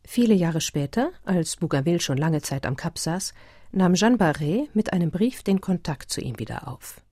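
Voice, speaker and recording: A woman with a very low-pitched, soft-toned voice reads literary narration in a composed, measured way. Her voice has a husky edge and is recorded dry and close. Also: expressive, dry recording.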